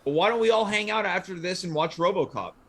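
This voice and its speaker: A man's voice: high-pitched, animated, fast. A man hosting a casual livestream chat show, steering the conversation. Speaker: show host.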